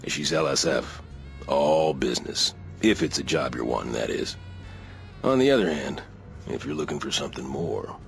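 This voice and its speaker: An actor playing a friendly, gossipy bartender in a video game, with a deep gravelly voice and a slow, confiding delivery. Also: male voice, lively intonation, soft background music, exaggerated voice. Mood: sly, curious. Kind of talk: video game cutscene acting.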